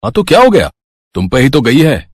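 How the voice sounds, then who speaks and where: A man's voice: medium pitch, huge pitch swings, brisk, slightly rough. A man voicing a good-humored middle-aged father who teases his wife in an easygoing way. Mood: teasing. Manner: teasing, easygoing.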